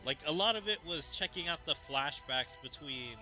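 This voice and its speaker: A man's voice: a little high, expressive, moderate pace, over music. A man giving casual commentary as the host of a gaming video.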